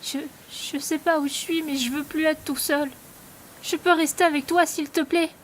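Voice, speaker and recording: A woman's voice: high voice, fairly even intonation, normal speed, slight background noise, natural voice. A woman voicing a lost, lonely little boy in an audio drama, hesitant, stammering and pleading. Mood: timid pleading. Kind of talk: scripted audio drama dialogue.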